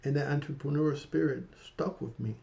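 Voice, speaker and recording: A man's voice: medium pitch, steady tone, moderate pace, vocal fry, highs cut off. A man in his fifties talking casually to his viewers in a rambling, heartfelt way, often tagging his sentences with "right?". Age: 50s. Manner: rambling, repeats "right?" and "you guys"; warm and heartfelt, emotional about his mother. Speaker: finance vlogger. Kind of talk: casual motivational talk to camera.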